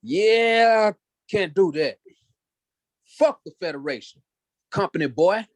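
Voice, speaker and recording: A man's voice: high-pitched, animated, slow pace, no room sound. A man playing a brash, defiant, greedy space miner in a screenplay table read.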